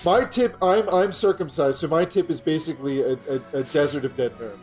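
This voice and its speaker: A man from Pennsylvania with a fairly high voice tells a long story in a dry, deadpan way while co-hosting a gaming show. He rambles and circles back, never rushing the joke. Faint game music plays quietly beneath him. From Pennsylvania, USA. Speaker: show co-host, gamer. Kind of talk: casual gaming podcast chat.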